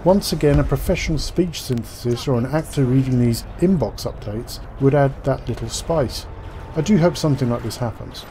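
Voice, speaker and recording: A man's voice: mid-range voice, moderate pace, warm tone, slight background noise. A man giving scripted game news commentary as a gaming commentator, articulate and formal in his wording.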